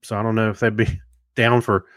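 A man co-hosting a casual tech news show, talking in a relaxed, agreeable way with joking asides. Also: lowish voice, a little fast, no echo. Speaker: podcast co-host.

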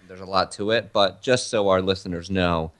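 A man with a very low voice hosts a podcast in relaxed chat. He teases his co-host and tells long, easygoing stories. He grew up in Argentina. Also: moderate pace. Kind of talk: casual chat.

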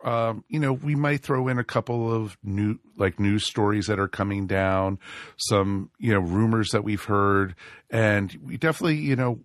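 A man's voice: lowish voice, steady tone, moderate pace, dry recording, clean studio sound. A man hosting a casual live podcast, a little scratchy from a cold, rambling with lots of fillers. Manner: rambling, many fillers and restarts. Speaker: podcast host.

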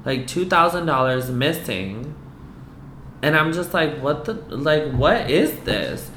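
A woman from the US East Coast telling a personal story to a host, candid and animated, filling her talk with "like" and some swearing. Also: low-pitched, slow, a little hiss or hum. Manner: frank, animated, peppered with "like" and swearing. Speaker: guest. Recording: roomy echo.

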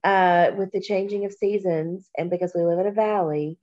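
A woman from Kentucky co-hosting a podcast, talking slowly and reflectively, with a stuffy nose from allergies. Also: on the low side, fairly even intonation, dull audio. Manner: slow, warm, reflective, with many pauses and fillers. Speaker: podcast co-host. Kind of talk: casual podcast chat.

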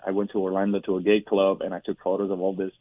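Low-voiced man from Puerto Rico, phone-line sound. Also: completely flat delivery, no room sound. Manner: halting, many restarts and fillers, candid. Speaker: guest. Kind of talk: personal testimony interview. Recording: dry, tinny phone-like line.

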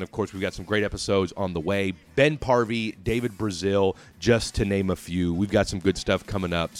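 Male podcast host, lowish voice, breezy sign-off with casual asides. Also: smooth. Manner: upbeat and chatty, with casual asides. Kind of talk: podcast outro and interview.

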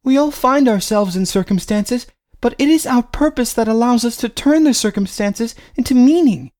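A man's voice: extremely high pitch, moderate pace, darkish tone, pitched above the performer's own voice. A man voicing a devoted, pregnant young wife who speaks earnestly and pleads with her husband. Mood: pleading.

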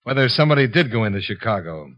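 An actor playing a brisk, probing investigator who narrates his case in a 1950s radio drama. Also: fairly low pitch, very animated. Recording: dull lo-fi 1950s radio recording.

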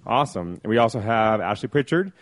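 A man with a fairly low voice hosts a podcast in a relaxed, chatty way. He drifts through his points with easy uh fillers, sounding unhurried. He is recorded on a podcast mic in a room inside a clock tower. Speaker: podcast host. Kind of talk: casual podcast chat.

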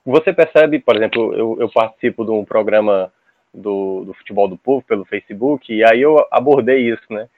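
A man's voice: lowish voice, no echo. Man from on a tinny line. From Ceará, Brazil. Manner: halting, many restarts and repeated words. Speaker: podcast guest. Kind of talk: casual podcast chat. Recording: dry tinny phone-like line.

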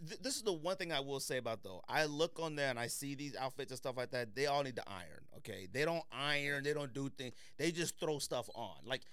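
Male American podcast host, lively intonation, slangy teasing banter, very dry close sound. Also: medium pitch, fairly quick, few pauses, clear, resonant tone, no echo. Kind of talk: casual panel banter.

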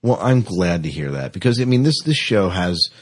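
A man with a very deep, rough-edged voice hosts a casual podcast discussion. His intonation moves around a lot, and he makes his points emphatically before tossing questions to his co-host. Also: big pitch swings, moderate pace.

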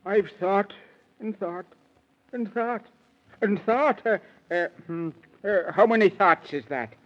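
High-pitched, fretful cartoonish lion. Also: male voice, slow pace. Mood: woeful, dithering. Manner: dithering, theatrical, wordplay-laden delivery. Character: fretful, whimsical lion king of the forest, easily delighted. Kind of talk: 1930s children's radio acting. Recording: muffled vintage 1930s radio recording.

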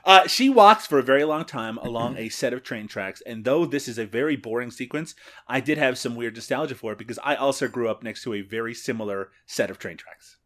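A man's voice: medium pitch, brisk, fairly bright tone. A Canadian man co-hosting a podcast, recapping a movie in casual chat and laughing often. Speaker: podcast co-host.

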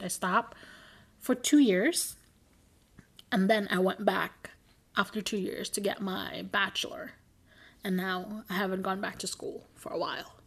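A young adult woman co-hosting a podcast, chatting casually, rambling and correcting herself with frequent giggles. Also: medium pitch. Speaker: podcast co-host. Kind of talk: casual chat.

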